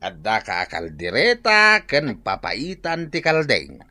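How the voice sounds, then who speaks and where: Casting: grumbling old Filipino dad for radio comedy, bright voice, huge pitch swings. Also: male voice, wildly swooping pitch, unhurried, full character voice. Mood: complaining, boastful. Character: excitable, grumbling old father. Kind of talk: radio comedy drama acting.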